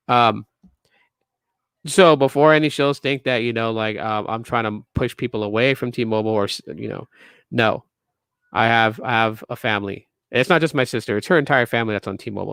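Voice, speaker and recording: Man, late thirties, tech podcast host, emphatic, repetitive, clear resonant voice, dry recording. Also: medium pitch, a bit bright, dry recording. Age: 30s. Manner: emphatic, repetitive restarts, lecturing. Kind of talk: casual rant to viewers.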